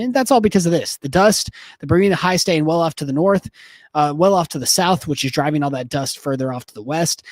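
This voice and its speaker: A hoarse man with a head cold, a TV meteorologist giving a casual, upbeat live forecast with chatty, self-deprecating asides. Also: on the high side, dry recording.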